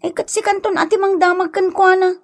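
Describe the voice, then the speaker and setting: An actress playing a nagging, scolding young village wife in a radio comedy drama, exclaiming dramatically with an Ilocano accent. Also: female voice, very high voice, steady tone, brisk. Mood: scolding, exasperated.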